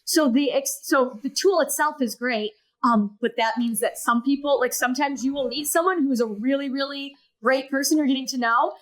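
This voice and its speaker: A woman's voice: high-pitched, moderate pace, few pauses. A woman in her thirties, a podcast guest, earnestly telling a personal story and acting out her past thoughts.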